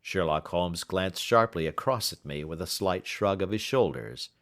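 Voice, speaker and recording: A man's voice: low-pitched, lively intonation, normal speed, a bit bright. A man reading a literary story aloud as scripted audiobook narration. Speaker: audiobook reader.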